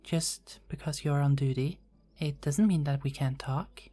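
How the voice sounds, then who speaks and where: Woman playing a soft, unhurried young queen. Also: low voice. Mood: calm, resentful. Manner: formal wording, soft and hesitant with small stammers. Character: young unmarried queen, caring, dutiful, yearning to escape. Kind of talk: ASMR roleplay, scripted character acting.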